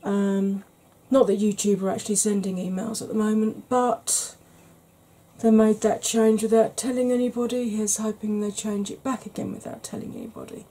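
A middle-aged woman talks in a soft, unhurried ASMR manner, chatting on about all kinds of things. Her voice is mellow and slightly husky, with a relaxed warmth. She sits close to the camera in a small room at home. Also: mid-range voice. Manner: gentle, rambling, chatty. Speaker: beauty YouTuber. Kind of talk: soft-spoken ASMR makeup chat.

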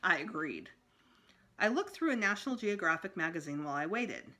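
A woman's voice: fairly low pitch, very animated, a little fast, bright, forceful tone. A middle-aged woman reading a children's book aloud expressively as a school storytime reader. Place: small room indoors at home.

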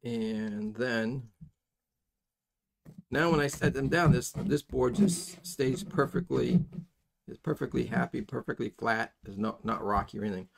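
An older man with a noticeably creaky, gravelly voice at an average pitch teaches a practical task at his bench. His delivery is folksy and halting, rambling along informally as he demonstrates each step. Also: a little hiss or hum. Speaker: soldering instructor. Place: indoors at a workbench.

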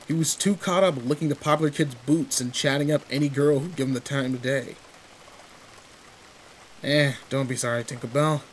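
A man's voice: medium pitch, moderate pace, a little hiss or hum, natural voice. Man playing teasing delinquent boy in the rain. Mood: candid, bitter. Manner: casual slangy, teasing, swearing. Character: tall teenage delinquent boy, teasing, protective, secretly sweet. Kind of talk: roleplay audio drama, intimate one-sided dialogue. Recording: steady rain ambience behind voice. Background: rain in a forest.

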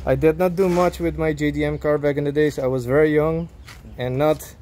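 Male vlog host, thirties, fairly high voice, casual delivery, some outdoor background noise. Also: fairly even intonation, a little fast, mellow. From Aruba. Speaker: car vlogger, host. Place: outdoors at open garage and yard.

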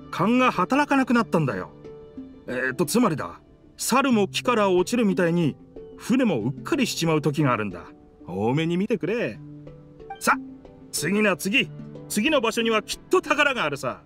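A man voicing an easygoing talking boat in a Japanese anime game, with casual, rough masculine phrasing and a sheepish manner. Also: sits high, wildly swooping pitch, normal speed, fairly bright tone, heavily acted. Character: talking sentient boat, easygoing, excuse-making male. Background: game music.

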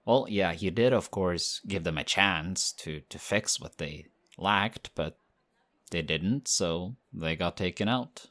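A man voicing a friendly, modest young male team captain in an audio roleplay, talking in a relaxed, chatty way. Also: low voice, takes it slowly. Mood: excited, friendly.

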